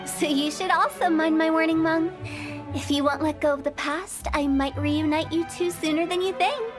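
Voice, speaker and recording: A woman's voice: high voice, normal speed, over music, lightly acted. A woman voicing a playful, macabre young funeral director with a teasing, mischievous, giggly way of talking. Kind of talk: game cutscene voice acting.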